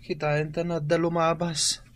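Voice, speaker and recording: A man's voice: a little high, completely flat delivery, a little slow, close to an everyday voice. A man playing a weak, hungry, sickly son in a radio drama. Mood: weak, subdued. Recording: faint background ambience noise.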